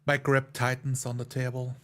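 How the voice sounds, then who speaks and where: A man's voice: mid-range voice, monotone, moderate pace, a bit bright. A man playing a tabletop roleplaying game, narrating his character's actions and speaking in character.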